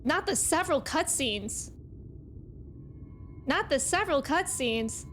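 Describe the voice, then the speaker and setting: Woman, high voice, game streamer, casual playful chat, reacting to the game, background music. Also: animated, slow, a bit bright. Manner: playful, thanking and greeting viewers. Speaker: video game livestreamer.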